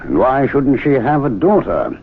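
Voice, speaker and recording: An actor playing a calm gentleman club member with a dry, wry way of talking. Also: medium pitch, a little slow. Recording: tinny 1970s radio broadcast with faint noise.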